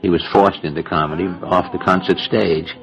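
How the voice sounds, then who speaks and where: Male interviewee, low creaky voice, recollecting, faint music behind. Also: fairly even intonation, normal speed, lo-fi sound.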